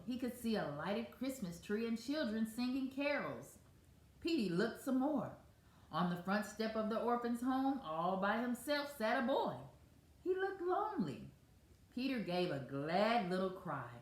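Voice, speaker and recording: A woman reading a children's picture book aloud as an expressive storyteller. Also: on the high side. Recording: echoey room.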